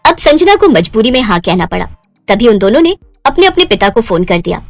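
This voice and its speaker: A woman's voice: on the high side, big pitch swings, brisk, no room sound, faint music behind. A woman storyteller narrating a scripted moral story.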